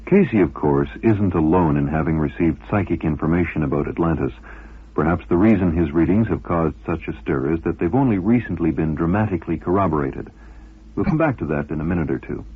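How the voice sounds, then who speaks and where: A man with a deep, very husky voice presents a scripted documentary. His delivery is measured and articulate, with lively intonation. The broadcast sounds narrow and muffled, like vintage radio. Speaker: documentary narrator, host.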